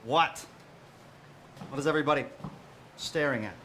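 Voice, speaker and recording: A man's voice: medium pitch, moderate pace, a bit bright, a little hiss or hum, natural voice. A man playing a glum, self-pitying captain in late middle age in a musical parody on stage. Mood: distracted, glum. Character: weary, self-pitying aging male starship captain facing fifty.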